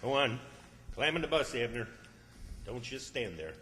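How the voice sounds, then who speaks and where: A man's voice: mid-range voice, animated, moderate pace, pushed and bright. A man acting an exasperated, bossy small-town man with a folksy, hectoring manner in an old-time radio comedy. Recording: echoey hall with long reverb.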